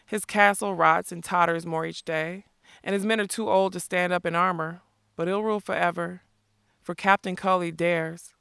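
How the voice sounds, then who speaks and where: A reader voicing a mocking, sharp-tongued outlaw woman in a storybook, taunting and sarcastic. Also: mid-range voice, fairly even intonation, normal speed, gravelly, smooth, no room sound, clean studio sound.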